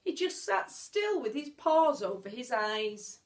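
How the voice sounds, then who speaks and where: A woman reads a children's storybook aloud in a fairly high voice. Her pace is very slow, with expressive phrasing, drawn-out words and deliberate pauses, as a teacher would read to a class.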